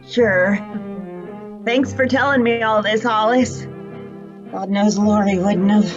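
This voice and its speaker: A woman's voice: medium pitch, steady tone, slow pace, close to an everyday voice. A woman playing a wry, teasing elderly retired superheroine in a fan-made drama, with a languid, sardonic delivery. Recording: dull lo-fi sound with music underneath. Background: music.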